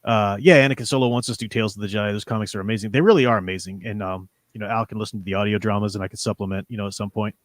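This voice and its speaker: A man's voice: fairly low pitch, steady tone, rapid-fire, hardly any pauses, no room sound. Enthusiastic, rambling man in his forties, close dry sound. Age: 40s. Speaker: livestream host. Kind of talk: casual fan discussion of comics and audio dramas.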